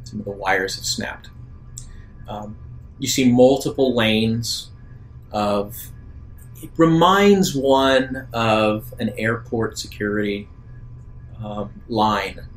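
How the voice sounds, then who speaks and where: A man working as a game master, improvising narration for a tabletop game in a halting, deliberate way. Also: soft background music, poor audio. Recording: rumbly background noise.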